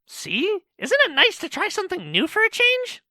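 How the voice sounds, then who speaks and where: A woman's voice: extremely high pitch, normal speed, dry recording, heavily acted. A woman voicing a cheeky, opinionated male cartoon monkey in a fan audio drama, chatty and sassy. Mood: upbeat. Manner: animated, sassy. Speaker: co-host of a fan question show. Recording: dry voice over background music.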